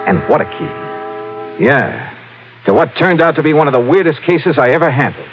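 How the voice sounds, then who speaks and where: An actor playing a brisk, probing insurance investigator in an old-time radio detective drama, narrating and questioning in a clipped, wry way. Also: male voice, a little high, muffled recording, lightly acted. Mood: wry, intrigued. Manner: clipped, businesslike first-person narration and questioning. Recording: vintage radio drama, organ-style music bed.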